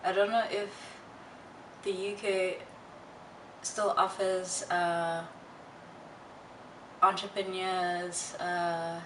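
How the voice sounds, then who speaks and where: Young female vlogger, unhurried and reflective, candid casual chat, slightly noisy and distant room recording. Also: lowish voice, flat delivery. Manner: candid, halting and reflective with slangy asides. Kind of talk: casual personal chat to viewers. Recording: roomy, distant mic, faint noise.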